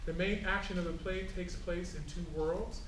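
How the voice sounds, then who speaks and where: A man introducing a play reading to an audience, speaking informally and somewhat haltingly. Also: high-pitched, fairly even intonation. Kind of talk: informal welcome speech, then reading an introduction. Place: small theatre, before an audience. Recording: roomy live stage recording, loud background noise.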